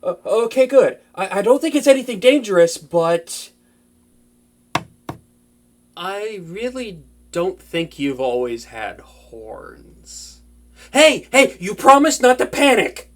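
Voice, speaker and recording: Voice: mid-range voice, very animated, slow pace, a little hiss or hum. A performer voicing an earnest, flustered young wizard in an intimate fantasy audio drama, chatty and prone to anxious stammering. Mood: alarmed.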